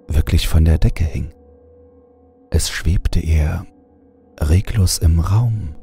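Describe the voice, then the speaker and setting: A man reading a scripted horror story as narrator, measured and suspenseful. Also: extremely low pitch, warm tone. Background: music.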